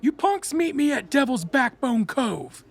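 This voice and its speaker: High, raspy, sneering bully. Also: male voice, very animated, measured pace, full character voice. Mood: taunting. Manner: sneering, jeering. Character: cocky, taunting, foul-mouthed bully. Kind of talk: audiobook character acting.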